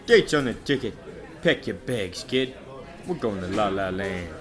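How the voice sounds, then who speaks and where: A man's voice: lowish voice, animated, a little slow, some chatter behind. A man playing a brash, self-serving hustler in a radio drama, with slangy, wisecracking showman talk. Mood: cocky, persuasive.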